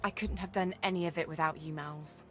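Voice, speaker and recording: An actress playing an earnest, haunted but determined young woman adventurer in a video game, heartfelt and halting. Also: deep voice, steady tone, faint music behind, natural voice. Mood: relieved, grateful. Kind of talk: video game character acting.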